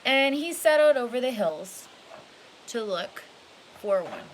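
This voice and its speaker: A woman's voice: fairly high pitch, lively intonation, slow pace, poor audio. A woman reading a children's picture book aloud expressively, stretching key words and adding casual asides. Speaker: storybook reader. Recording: hissy home recording with background noise.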